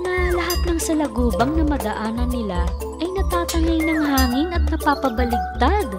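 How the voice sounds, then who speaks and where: A woman's voice: high voice, animated, normal speed, loud music behind the voice. A woman narrating a scripted fairy tale for children.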